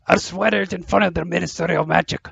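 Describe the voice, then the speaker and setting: A man as a very gravelly, blustering gamekeeper. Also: high-pitched, fairly even intonation, quick pace, a bit bright, no room sound, lo-fi sound, full character voice. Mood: pleading, agitated. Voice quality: gravelly, husky. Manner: blurting, flustered. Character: big blustering, loyal, anxious gamekeeper man. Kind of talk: audio drama acting.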